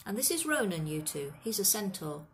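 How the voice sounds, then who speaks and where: Storybook gamekeeper man played by a woman: gruff, protective, rustic and colloquial, voice often raised. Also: medium pitch, very animated, normal speed, a little hiss or hum, lightly acted. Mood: relieved, friendly. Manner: rustic dialect, blunt, colloquial. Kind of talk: storybook character voice.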